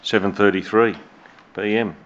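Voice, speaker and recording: A man with an Australian accent chairing a council meeting as mayor, measured and hesitant with many fillers, recorded on dull lo-fi room audio. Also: on the low side, steady tone. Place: council chamber.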